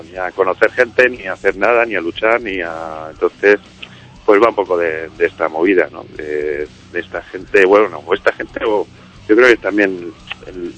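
A man's voice: deep voice, fairly bright tone, lo-fi sound. A man, a musician and songwriter, talking in a casual radio interview, rambling with many fillers and restarts. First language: Spanish. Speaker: musician guest, singer and songwriter of a band.

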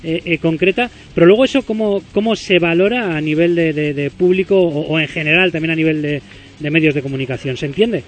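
Quick-talking man host, slightly high voice, long winding interview questions. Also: soft background music. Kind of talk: radio interview.

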